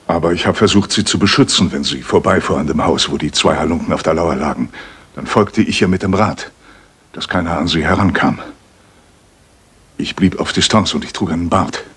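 An actor playing a remorseful, lovelorn middle-aged father in a radio play, speaking haltingly and earnestly. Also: low-pitched, fairly bright tone, husky, heavily acted. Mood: anguished.

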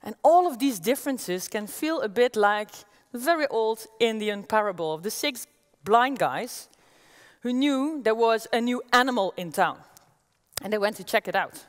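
A woman in her forties with a Dutch accent, giving an energetic conference keynote on a stage, talking through a headset mic. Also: fairly high pitch, normal speed. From Netherlands. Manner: energetic, gestures as she walks the stage. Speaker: keynote speaker. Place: large auditorium stage with audience. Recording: long reverb of a large hall.

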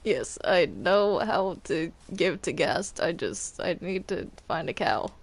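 Slow, halting, gravelly gamer with animated pitch. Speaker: Minecraft roleplay player. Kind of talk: casual in-game roleplay chat.

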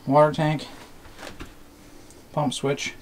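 A man's voice: on the high side, monotone, drawn-out words. A man giving a casual, hands-on how-to narration as a DIY video host. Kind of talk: casual how-to narration of a trailer plumbing setup. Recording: clear background noise.

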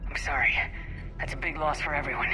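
An actress playing a tough, resolute military commander in a sci-fi video game, terse and businesslike. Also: female voice, sits low, quick pace, over music, lightly acted. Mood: somber. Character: tough, resolute woman space-soldier commander.

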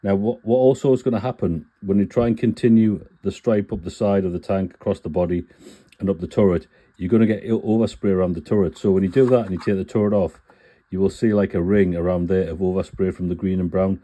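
Bloke with a cold, low dark voice, chatty model-building walkthrough. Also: male voice, fairly even intonation, fast talker. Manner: chatty, self-correcting, plain-spoken. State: full of cold. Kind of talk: casual build tutorial.

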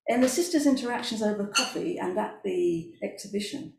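A woman's voice: medium pitch, animated, fairly quick, vocal fry, darkish tone. An older British woman, a playwright, giving a hesitant prepared speech in a formal parlour. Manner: hesitant, unpractised. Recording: echoey room, faint noise.

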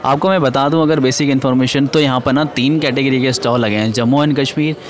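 A man's voice: mid-range voice, steady tone, fast. A man vlogging from an exhibition, addressing viewers directly. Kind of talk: casual vlog narration.

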